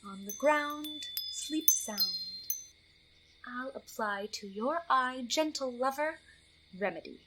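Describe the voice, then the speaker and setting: Woman doing a knavish little fairy sprite, high voice, slow teasing rhymes, audio play, some background noise. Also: animated, fairly bright tone, exaggerated voice. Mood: incanting. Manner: theatrical, teasing, chanting in rhyme. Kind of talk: Shakespearean verse audio drama.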